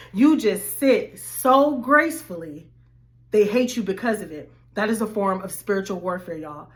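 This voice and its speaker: A woman life coach, slightly hoarse, talking animatedly to her viewers in a casual faith-based vlog. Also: fairly high pitch. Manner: animated, addresses viewers as y'all.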